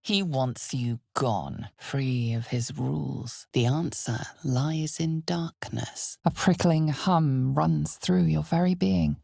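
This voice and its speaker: A woman voicing an ominous, knowing narrator of a dark fantasy game, speaking in a measured, dramatic, often hushed way. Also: low-pitched, gravelly, no room sound, studio quality, full character voice.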